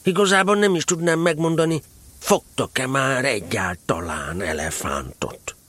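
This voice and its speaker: A man voicing an earnest, slow-witted male teddy bear in a storybook, talking slowly and in a hushed way. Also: mid-range voice, animated, dry recording, voice pushed up. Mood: ponderous.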